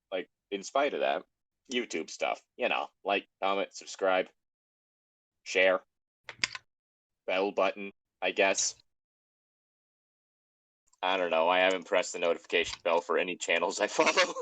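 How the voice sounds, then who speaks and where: A man's voice: sits low, fairly bright tone, dry recording, rough recording. A man hosting an online show in casual, rambling banter, self-deprecating and prone to swearing.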